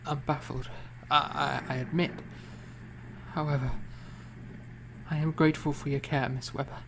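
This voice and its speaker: A man acting a weary, feverish young gentleman composer who speaks in halting, formal, courteous phrases in a period audio drama. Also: a little high, flat delivery, creaky, noisy background. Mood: grateful, weary. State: feverish, weak.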